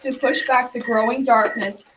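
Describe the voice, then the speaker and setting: A woman's voice: a little high, fairly even intonation, moderate pace, close to an everyday voice. A young female amateur playing a grandfather who narrates a folktale in a radio drama. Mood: storytelling. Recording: distant mic, noisy background.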